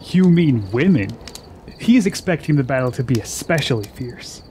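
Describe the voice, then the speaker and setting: A man's voice: medium pitch, lively intonation, normal speed, warm tone, slight background noise, natural voice. An actor playing an eager, boastful young man who longs to be a warrior, with formal phrasing, in a fantasy radio drama. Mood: earnest, wry. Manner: earnest, formal archaic phrasing.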